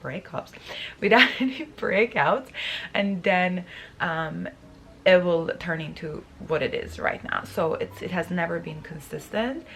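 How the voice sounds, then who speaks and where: A woman in her thirties, raspy from just waking up, chatting to camera in her bedroom with many fillers and restarts. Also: lowish voice, unhurried, fairly bright tone. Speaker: beauty vlogger. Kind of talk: casual talk to camera. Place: bedroom, indoors.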